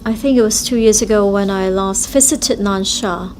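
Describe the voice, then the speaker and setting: A woman interpreting speeches live at a forum, speaking in short, halting phrases. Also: medium pitch, a little mellow, slight background noise.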